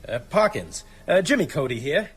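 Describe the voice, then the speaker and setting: An actor playing a shrewd, wry American small-town doctor who is hurt but scheming, in a radio drama. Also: male voice, on the high side, sing-song, moderate pace. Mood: wry, conspiratorial.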